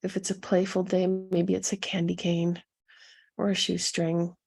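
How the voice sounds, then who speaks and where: A woman leading a guided meditation as a coach, speaking softly and soothingly to her listeners with a gentle, husky delivery. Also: on the low side, flat delivery. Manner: soothing, gentle, unhurried instruction with pauses.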